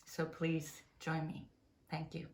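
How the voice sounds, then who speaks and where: A woman in her forties introducing an architecture course, speaking in a measured way with frequent pauses and fillers. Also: low-pitched, completely flat delivery, creaky, darkish tone, echoey room. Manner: slow, measured, many "um" pauses. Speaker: architecture lecturer. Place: small room with a plain wall.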